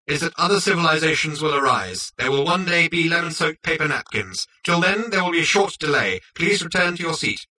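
A man's voice: a little high, animated, a little fast, few pauses, sharp tone, distant mic, voice pushed up, heavily acted, rough recording. A man voicing a prim, officious robot autopilot making formal announcements in a science fiction storybook.

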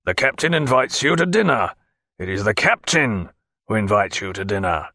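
A man voicing a stiff, formal first lieutenant in an audiobook. The figure speaks low, clipped and rigid. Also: very animated, moderate pace, pushed and bright, no room sound, studio quality, heavily acted.

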